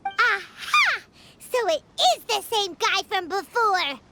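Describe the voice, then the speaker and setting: A squeaky little fairy companion. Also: female voice, sing-song, takes it slowly, very noisy, full character voice. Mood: excited. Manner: bouncy, exclamatory, curious. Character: tiny excitable female fairy-like companion.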